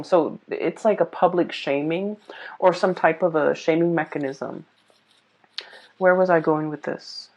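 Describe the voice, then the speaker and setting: A woman giving a casual off-the-cuff tarot reading, explaining things in a halting, hesitant way. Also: sits low. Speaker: tarot reader. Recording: dull, muffled lo-fi sound.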